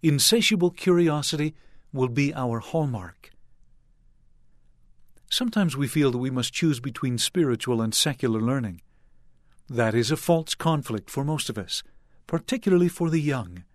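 An older man and church leader delivering a sermon to young people in a measured, earnest way with deliberate phrasing. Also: medium pitch, expressive, dry recording, clean studio sound.

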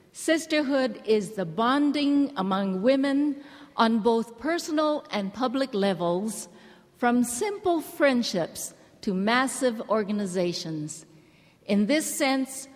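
A woman from Hawaii giving an earnest, gentle church address to a women's gathering. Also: fairly high pitch. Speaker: church speaker. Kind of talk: measured address to a women's conference. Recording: large hall reverb.

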